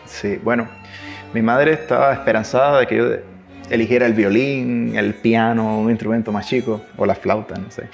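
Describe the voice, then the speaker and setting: A man from Cuba with a Cuban accent touched by Chilean, telling stories in a relaxed interview, with restarts and laughs. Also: fairly low pitch, creaky, highs cut off. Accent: Cuban Spanish with Chilean traces. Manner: warm anecdotal telling, restarts, laughs at his own stories. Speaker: interviewee, double bass player. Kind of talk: relaxed conversational storytelling.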